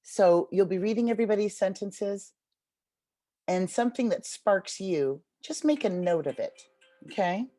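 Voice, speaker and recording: A woman's voice: mid-range voice, animated, moderate pace, warm tone. A woman facilitating an online group writing activity over a video call, speaking in an encouraging manner. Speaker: workshop facilitator.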